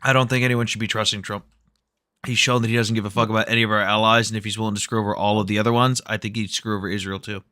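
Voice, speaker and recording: A man's voice: on the low side, little pitch movement, rapid-fire, bright, forceful tone, clear, resonant tone, no echo, studio quality. A man hosting a live stream, chatting casually and bluntly, with frequent swearing. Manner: blunt, profane, offhand.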